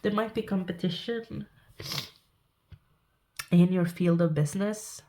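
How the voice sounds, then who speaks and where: A woman with a Norwegian accent giving a pick-a-card tarot reading, sniffly and halting, restarting her thoughts as she speaks. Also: lowish voice, steady tone. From Norway. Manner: halting, thinking aloud with restarts and soft laughs. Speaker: tarot reader.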